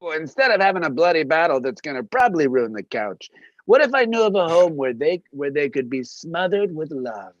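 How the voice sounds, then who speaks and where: A performer playing an anxious, excitable animal in an animated comedy table read, swinging from meek to frantic. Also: medium pitch, brisk, natural voice. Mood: baffled. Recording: roomy, slightly dull sound.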